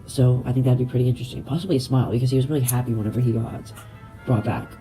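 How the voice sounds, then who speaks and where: A man's voice: mid-range voice, steady tone, racing, warm tone, soft background music, poor audio. A young man with a congested, stuffy nose, rambling casually with many fillers and self-corrections. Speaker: LEGO video creator. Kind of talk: casual speculation vlog.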